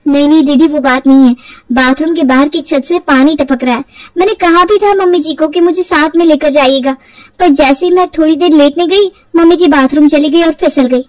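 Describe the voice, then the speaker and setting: A young daughter-in-law in an audio storybook , acted by a woman, with a high, expressive voice. She sounds earnest, attentive and a little put-upon, in a very dry recording. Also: fairly quick, no echo, lightly acted, rough recording. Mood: apologetic.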